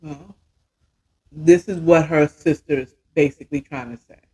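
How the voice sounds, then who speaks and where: A woman's voice: sits low, fairly even intonation, moderate pace, dark tone, low-quality recording. A woman in her fifties telling a story casually as a podcast host, chatty and emphatic, often checking in with the listener. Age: 50s.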